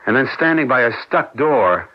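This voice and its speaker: Actor playing a husky, accusing husband. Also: male voice, medium pitch, lively intonation, normal speed, close to an everyday voice. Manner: pressing, prosecutorial, dramatic. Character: bitter accusing husband, relentless and cold. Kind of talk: 1970s radio drama acting. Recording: dull lo-fi radio recording.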